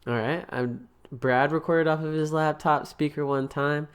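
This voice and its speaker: A man's voice: fairly high pitch, fairly even intonation, a little slow, clear, resonant tone. A young man co-hosting a podcast, talking in casual, rambling banter with lots of restarts and laughs. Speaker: podcast co-host.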